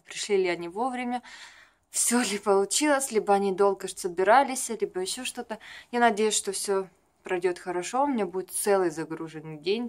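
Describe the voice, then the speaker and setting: A young adult woman talks in a casual, confiding vlog style, rambling through everyday stories to a camera at arm's length in a room at home. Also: medium pitch. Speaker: vlogger, makeup artist. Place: small furnished room at home.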